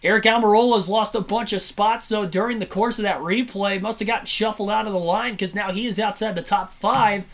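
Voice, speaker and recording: High-voiced hoarse man calling a race. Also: brisk, few pauses. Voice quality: slightly nasal and raspy. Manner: energetic, chatty, self-correcting. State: hoarse from sinus congestion. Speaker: live race commentator. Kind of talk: amateur play-by-play commentary over a race broadcast.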